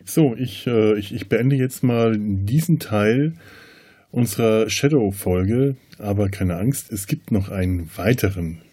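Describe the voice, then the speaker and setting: A man's voice: on the low side, takes it slowly, warm tone, slightly rough. A man hosting a podcast, talking casually about film. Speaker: podcast host.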